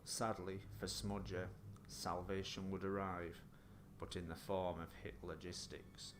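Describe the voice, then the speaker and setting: A man's voice: deep voice, flat delivery, measured pace. A man delivering scripted mock-documentary narration as a grave storyteller. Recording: clearly noisy playback.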